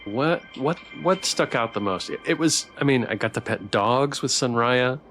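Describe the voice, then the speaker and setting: A man's voice: mid-range voice, animated, normal speed, a bit bright, soft background music. A man streaming a visual novel, reading its text aloud with playful, theatrical commentary and joking asides.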